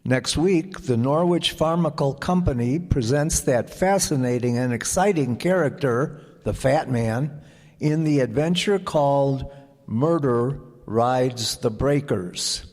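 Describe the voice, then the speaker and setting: A man reading a script as an old-time radio announcer, with measured, deliberate phrasing. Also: mid-range voice, darkish tone. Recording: echoey hall with audience present.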